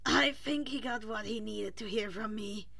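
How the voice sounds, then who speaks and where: A woman voicing an earnest, loyal, anxious teenage boy in a story, halting and emphatic. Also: medium pitch, gravelly, pushed and bright, no room sound. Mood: guilty, upset.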